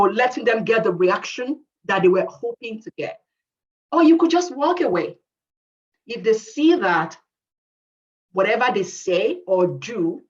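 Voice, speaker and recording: A woman with an accent speaks earnestly to young listeners and repeats key phrases for emphasis. Her voice sits a little high. The video-call audio is muffled and sounds far from the mic. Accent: non-native English. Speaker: guest speaker. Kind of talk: advice talk to youth.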